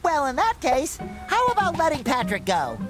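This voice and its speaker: Squeaky eager cartoon sponge boy, super high, bouncing all over, music behind. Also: moderate pace. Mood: pleading. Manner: excitable, earnest, childlike. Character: eager, naive, cheerful sea sponge, young male. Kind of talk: animated video game acting.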